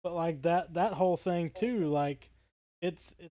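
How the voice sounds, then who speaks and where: A man's voice: fairly high pitch, unhurried, dark tone, no echo. A man co-hosting a podcast, talking casually in a fan discussion. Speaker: podcast co-host.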